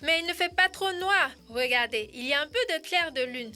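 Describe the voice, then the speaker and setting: A woman's voice: high voice, animated, normal speed, sharp tone, soft background music. A woman playing a small, helpful, big-eyed night animal in an educational children's cartoon dub. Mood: confident.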